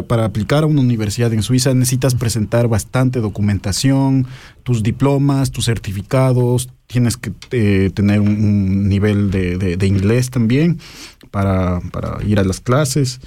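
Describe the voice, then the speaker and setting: A man's voice: on the low side, moderate pace, dark tone, dry recording. A young man with an Ecuadorian accent, answering interview questions casually and explaining things with some hesitation. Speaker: interview guest, researcher. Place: studio.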